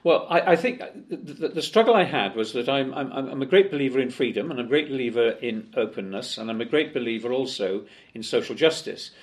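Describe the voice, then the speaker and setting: A man's voice: mid-range voice, lively intonation, fairly quick, hardly any pauses. An older man from Wales, talking as a guest in a conversational interview, chatty and full of fillers and restarts. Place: office in Parliament.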